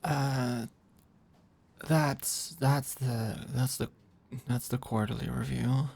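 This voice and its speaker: A performer of unclear sex playing a flirty, overworked office manager in an intimate ASMR roleplay, with a halting, teasing murmur. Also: medium pitch, fairly even intonation, gravelly, darkish tone, husky, exaggerated voice. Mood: flustered, defensive.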